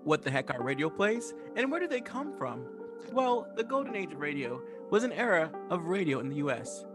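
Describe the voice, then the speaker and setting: Man, quick pace, fairly high pitch, conversational podcast delivery, music behind. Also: sing-song. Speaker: podcast cast member. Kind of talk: casual chat, some scripted narration.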